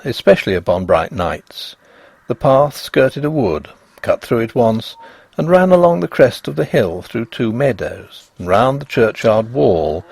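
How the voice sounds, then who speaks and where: A man narrating a ghost story in an expressive, measured and dramatic scripted reading. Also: mid-range voice, vocal fry, dry recording.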